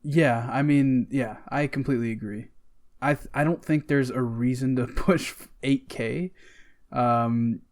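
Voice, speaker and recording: Casual man with a mid-pitched voice. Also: unhurried. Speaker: podcast co-host. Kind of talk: casual chat.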